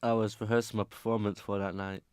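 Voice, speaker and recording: A man's voice: on the low side, little pitch movement, quick pace, darkish tone, clean tone, no echo, natural voice. A man playing a guarded young circus singer with a secret, speaking earnestly in an amateur radio drama.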